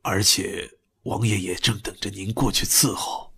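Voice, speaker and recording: A man playing a deferential, hesitant household steward with a husky voice in an audio drama. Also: fairly low pitch, steady tone, measured pace, pushed and bright, heavily acted. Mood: hesitant, apologetic. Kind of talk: audio drama acting in Mandarin.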